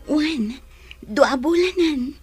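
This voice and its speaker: An actress playing an earnest, soft-spoken young pregnant woman in a radio drama. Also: sits high, animated, extremely slow, over music, exaggerated voice. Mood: anxious, earnest.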